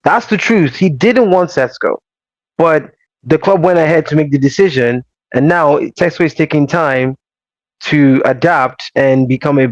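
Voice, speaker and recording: A man hosting a casual football show, explaining tactics in a measured, emphatic way. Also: fairly high pitch, no room sound. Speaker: host. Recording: slightly dull dry audio.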